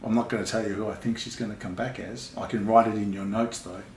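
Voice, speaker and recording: A man's voice: on the low side, steady tone, fast, slight background noise. A middle-aged man casually discussing books, explaining his opinions. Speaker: father reviewing books with daughter. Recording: echoey room.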